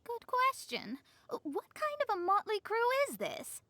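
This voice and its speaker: Mona, a haughty high-voiced young astrologer. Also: female voice, huge pitch swings, unhurried, bright, forceful tone, dry recording, heavily acted. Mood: wry. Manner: pompous, wry, scolding. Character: Mona, proud young woman astrologer, sharp-tongued and haughty. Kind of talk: game cutscene acting.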